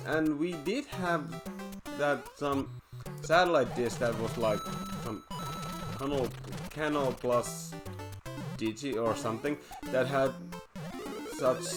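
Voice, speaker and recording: Man, Finnish accent, let's play host, slow and halting speech, many restarts, game music playing underneath. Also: mid-range voice. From Finland. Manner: hesitant, many restarts and filler words. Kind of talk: casual commentary while playing a video game. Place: at home playing on a TV. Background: video game music.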